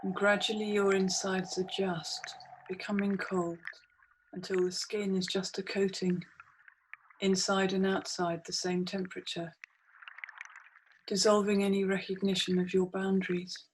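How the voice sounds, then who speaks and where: A woman leads a guided meditation at a very unhurried pace. Her phrases are short and hypnotic, set apart by long pauses, and her pitch stays flat and steady. An ambient soundscape and noticeable noise sit behind her voice. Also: low-quality recording. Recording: clearly audible background noise, ambient soundscape.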